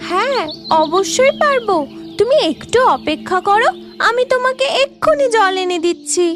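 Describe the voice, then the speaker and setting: Extremely high-pitched little fairy girl. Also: lively intonation, normal speed, over music. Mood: eager, concerned. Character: eager, boastful, kind little flower fairy girl. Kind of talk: children's cartoon dubbing.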